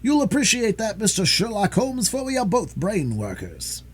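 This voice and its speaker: A man voicing a pompous, boastful, excitable police detective in a storybook reading. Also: sits high, moderate pace, slightly rough, pitched above the performer's own voice, heavily acted. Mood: self-important.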